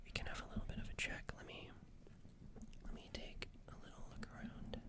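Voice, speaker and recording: Woman as alien caregiver, whispering, soothing, flustered, hesitant, repeats soft phrases, ASMR roleplay. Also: brisk, natural voice. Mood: concerned, careful. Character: gentle flustered alien nurse tending a crash survivor.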